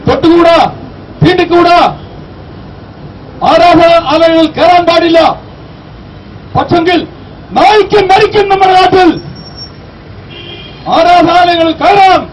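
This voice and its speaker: A man giving an impassioned public address, exhorting listeners with rhetorical questions in a declamatory manner. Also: very high voice. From Kerala, India. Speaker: activist, public speaker. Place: outdoor street rally. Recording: distant mic, outdoor street noise.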